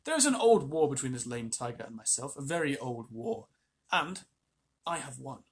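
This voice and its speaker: Old hunter muttering through teeth, fearful and pleading, breathy tone, a reader doing the voice. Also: medium pitch, big pitch swings, normal speed. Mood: grudging. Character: frightened old village hunter, gruff and sly.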